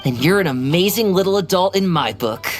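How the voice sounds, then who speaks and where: A woman's voice: lowish voice, expressive, brisk, faint music behind, lightly acted. A woman voicing a frank, encouraging young kid in a video game, in a matter-of-fact way.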